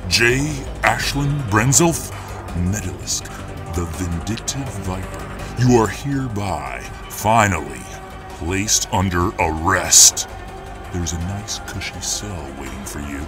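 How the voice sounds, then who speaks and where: A man voicing a smug, pompous, cold superhero in a dramatic audio roleplay, speaking formally and deliberately. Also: sits low, expressive, music in the background. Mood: triumphant, stern.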